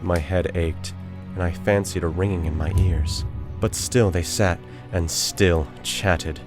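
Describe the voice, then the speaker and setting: A man voicing a guilt-crazed murderer in a theatrical, deliberate dramatic reading of a gothic horror story. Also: deep voice, fairly even intonation, music in the background. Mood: growing unease. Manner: theatrical, deliberate phrasing with drawn-out words. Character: guilt-crazed murderer, feverishly confident, unraveling into frantic confession.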